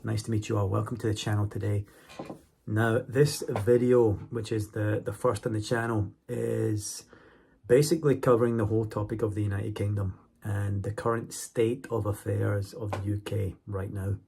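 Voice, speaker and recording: A Scottish man in his forties talks to his viewers in a low, gravelly voice that is roughened by a cold. He chats casually and keeps checking that his listeners are still with him. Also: level tone, normal speed, dark tone, a little hiss or hum. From Scotland. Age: 40s. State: has a cold. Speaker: YouTuber. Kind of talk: casual monologue to camera.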